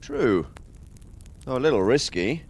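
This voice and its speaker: A man's voice: medium pitch, very animated, unhurried, gravelly, husky, exaggerated voice. Skeptical, careful nobleman. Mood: considering. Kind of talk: dramatic game cutscene acting.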